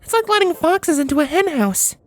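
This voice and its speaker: A woman's voice: very high voice, lively intonation, brisk, no echo, voice pushed up. A woman voicing a sulky, remorseful young female pony princess with a hesitant, grudging manner in a storybook reading. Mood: sulky, defensive. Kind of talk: fan fiction storybook reading.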